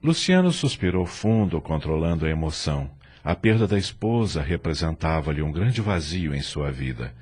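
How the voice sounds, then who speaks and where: Very deep male audio novel narrator. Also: very animated, moderate pace, few pauses, a little mellow, slightly rough. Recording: music bed behind narration.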